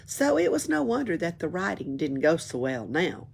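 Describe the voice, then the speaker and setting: A low, gravelly woman's voice reads a children's book over noticeable background noise. The storytelling is expressive, suited to young listeners. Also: moderate pace. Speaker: library storyteller. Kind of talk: reading a children's book aloud.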